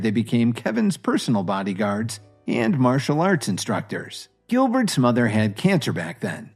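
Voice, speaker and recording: Male narrator for serialized fiction, animated intonation, music underneath. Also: medium pitch. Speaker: audio series narrator. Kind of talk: scripted story narration.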